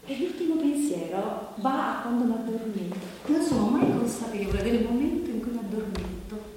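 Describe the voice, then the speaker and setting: A woman performing a reflective stage monologue as an actress thinking aloud, halting and repeating phrases, as if in spontaneous conversation. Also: a little high, expressive, dark tone, close to an everyday voice. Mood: tender, pensive. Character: reflective actress pondering how to portray women awaiting death. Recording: very echoey hall, distant, noisy.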